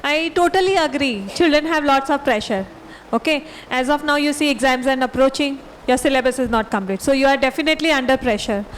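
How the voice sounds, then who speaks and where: A woman's voice: high voice, brisk, a little hiss or hum, poor audio. A woman, a principal on a panel, giving students direct, motherly advice. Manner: motherly, direct, addresses students as dear children.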